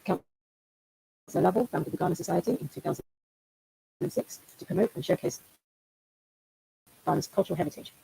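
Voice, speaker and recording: A woman's voice: on the low side, lively intonation, fairly quick, vocal fry, long pauses, mellow, husky, low-quality recording. A woman hosting an online event, formally reading out an introduction for a guest speaker. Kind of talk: formal introduction read aloud. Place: online video call.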